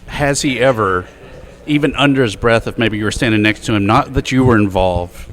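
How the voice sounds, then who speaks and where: Man host with a low voice. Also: fairly quick, a bit bright. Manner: long winding questions. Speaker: podcast co-host. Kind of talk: media day interview.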